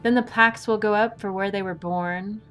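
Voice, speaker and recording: A woman's voice: mid-range voice, fairly even intonation, moderate pace, fairly bright tone, soft background music, close to an everyday voice. A woman playing a courteous, unruffled swashbuckling heroine with a wry, philosophical manner in an audio drama. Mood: wryly musing. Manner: polite, unruffled, wryly philosophical. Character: composed, courteous, witty female masked swashbuckling heroine.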